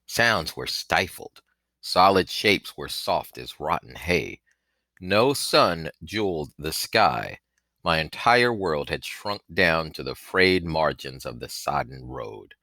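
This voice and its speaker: A man reading a storybook aloud as an audiobook narrator, with theatrical, expressive delivery. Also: rumbling low, no echo. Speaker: audiobook reader.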